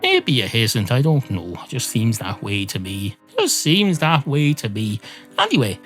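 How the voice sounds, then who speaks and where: A man's voice: mid-range voice, huge pitch swings, normal speed, over music. A man hosting a podcast with a rambling comic monologue, often repeating and second-guessing himself. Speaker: podcast host.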